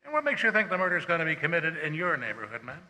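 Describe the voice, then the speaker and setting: Actor as bright, high-voiced, dismissive police sergeant. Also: male voice, very animated, full character voice, poor audio. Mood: placating. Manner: unhurried, placating, patronizing. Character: stolid, dismissive male police desk sergeant. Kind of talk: stage performance of classic radio suspense drama.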